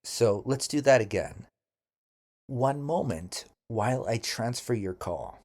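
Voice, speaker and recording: Husky male English teacher, very varied intonation. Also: mid-range voice, lively intonation, a little mellow. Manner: deliberate, careful enunciation for learners. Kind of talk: scripted language lesson with model phrases to repeat.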